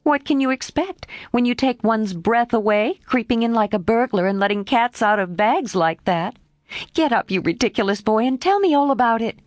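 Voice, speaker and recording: Lady reader doing a jumpy excitable young girl, squeaky and bouncing all over. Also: normal speed, dry recording, lightly acted. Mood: teasing. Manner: exclaiming, high and animated. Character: young woman, impulsive, tomboyish, warmly excitable.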